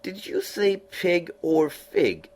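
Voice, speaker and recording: Sly storybook cat with a slow teasing drawl, put on by a male reader. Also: sits high, very animated, darkish tone, slight background noise, pitched above the performer's own voice, exaggerated voice. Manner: slow, drawn-out, playful delivery. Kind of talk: storybook reading.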